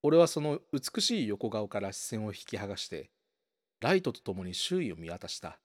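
A man's voice: lowish voice, very animated, normal speed, no echo, clean studio sound. A man reading scripted first-person narration for a radio drama, with dramatic, suspenseful storytelling.

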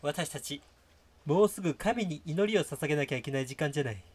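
Man playing a higher-pitched prim, possessive girlfriend. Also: expressive, fairly quick, slightly rough. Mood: bossy. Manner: feminine, coquettish phrasing. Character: prim, possessive devout girlfriend, a young woman. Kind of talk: amateur comedy radio drama.

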